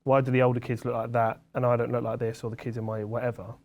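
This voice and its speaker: Young man, medium pitch, reflective, asks probing questions, podcast chat. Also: level tone, quick pace, darkish tone. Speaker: podcast co-host. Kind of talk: casual chat.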